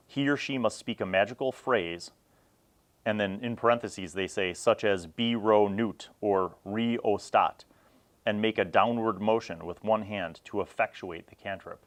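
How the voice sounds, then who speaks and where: Low-voiced man giving a casual review. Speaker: host of a vintage tabletop gaming review series. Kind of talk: casual unscripted review talk to viewers.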